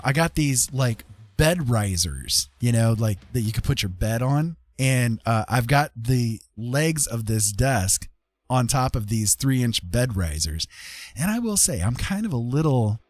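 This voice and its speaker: A man's voice: medium pitch, no room sound. A man livestreaming in a casual, rambling way, with a jokey, self-deprecating, colloquial manner. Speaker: live streamer and digital artist.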